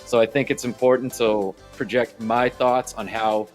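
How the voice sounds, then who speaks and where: A man with a medium-pitched voice gives casual interview answers as an esports caster. Music plays behind his conversational talk. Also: little pitch movement, normal speed.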